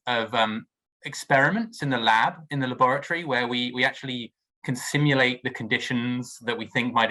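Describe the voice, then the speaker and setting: A man's voice: mid-range voice, pushed and bright, poor audio. A man research fellow introducing his work in a conversational way on a video call, often restarting his phrases. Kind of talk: casual self-introduction.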